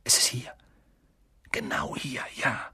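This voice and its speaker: A man voicing an eager, stubborn young schoolboy in a storybook reading, intense and secretive, often hushed and urgent. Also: medium pitch, animated, moderate pace, creaky, husky, no room sound, studio quality, pitched above the performer's own voice, full character voice.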